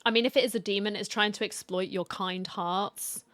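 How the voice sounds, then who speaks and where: A woman's voice: medium pitch, fairly even intonation, moderate pace, fairly bright tone, no room sound. A woman streamer giving casual live commentary on a game, musing aloud in a hesitant way. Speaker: VTuber streamer.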